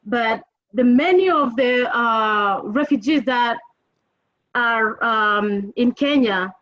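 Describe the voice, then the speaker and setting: A woman from the Democratic Republic of Congo giving an earnest webinar presentation, speaking with frequent pauses and fillers. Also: a little high. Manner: earnest, grateful, halting with fillers. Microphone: video call. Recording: lo-fi video call audio.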